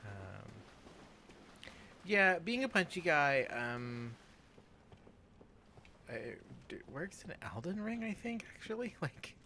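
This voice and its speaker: A man's voice: fairly high pitch, very animated, slow pace, long pauses, bright, forceful tone, slight background noise. A man hosting a let's play, giving casual gaming commentary.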